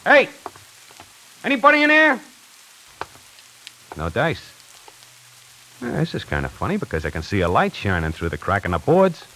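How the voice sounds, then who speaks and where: A man's voice: mid-range voice, huge pitch swings, moderate pace, pauses often, sharp tone, a little hiss or hum, heavily acted, poor audio. An actor playing a wisecracking necktie salesman who narrates his own story in a 1940s radio drama, hardboiled and slangy. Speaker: narrator and lead character. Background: music.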